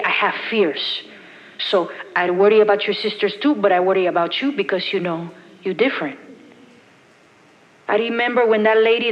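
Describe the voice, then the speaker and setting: A woman voicing a protective middle-aged Puerto Rican mother with a Puerto Rican accent, leaving a halting, repetitive voicemail. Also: mid-range voice, long reverb tail, highs cut off, close to an everyday voice. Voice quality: halting, repeating herself, broken English. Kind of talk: voicemail monologue in a stage show.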